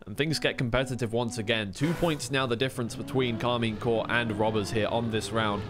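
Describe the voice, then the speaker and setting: A man's voice: medium pitch, steady tone, fairly quick. Male esports commentator over engine noise. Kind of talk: live play-by-play commentary with casual asides.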